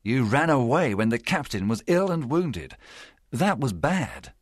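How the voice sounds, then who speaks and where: A man voicing a stern, principled gentleman doctor in an audiobook. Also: mid-range voice, very animated, unhurried, dry recording, studio quality, voice pushed up. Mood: stern, sad. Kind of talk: audiobook character acting.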